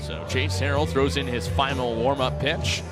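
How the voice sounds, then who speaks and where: A man's voice: medium pitch, fairly even intonation, normal speed. A man in his twenties doing radio play-by-play commentary of a baseball game. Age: 20s. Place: outdoor ballpark broadcast. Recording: ballpark crowd and music behind. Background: stadium crowd noise and music.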